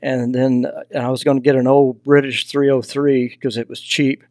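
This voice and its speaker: He is an older man from Texas with a Southern accent. His voice is of average pitch, and he talks in a folksy, anecdotal way, like a guest casually telling stories. The sound is dry, with little room around it. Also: level tone, moderate pace, a little mellow, dry recording. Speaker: podcast guest, hunting mentor and foundation founder. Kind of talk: casual interview storytelling.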